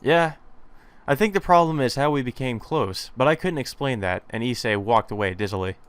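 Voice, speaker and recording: A man's voice: mid-range voice, lively intonation, normal speed, smooth. A man narrating a let's play, reading a visual novel aloud and adding casual commentary. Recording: music behind the voice.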